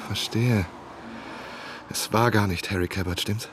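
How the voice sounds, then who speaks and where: An inquisitive novelist-investigator in a radio drama. He has a fairly low voice and a matter-of-fact, probing delivery, with clear background ambience. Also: steady tone, unhurried, a bit bright, a little hiss or hum. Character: inquisitive, persistent male novelist turned investigator. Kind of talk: German radio drama narration and dialogue.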